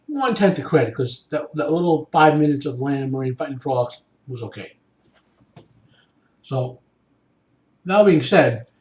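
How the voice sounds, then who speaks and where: Male podcast host, informal explainer, halting delivery, frequent check-ins, heavy room echo. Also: mid-range voice, darkish tone. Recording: echoey room sound.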